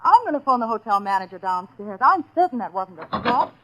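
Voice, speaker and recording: An actress playing a frightened, highly emotional wife with melodramatic pleading in a 1940s radio crime drama. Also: female voice, big pitch swings, brisk, bright, forceful tone, a little hiss or hum, poor audio. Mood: uneasy. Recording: old 1940s radio recording.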